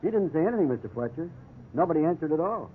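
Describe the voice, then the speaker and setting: A man's voice: fairly high pitch, very animated, rapid-fire. An actor playing a young, fair-minded junior policeman with a hesitant, mild manner in a 1950s radio drama. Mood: apologetic. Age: young adult. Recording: tinny, noisy old radio recording.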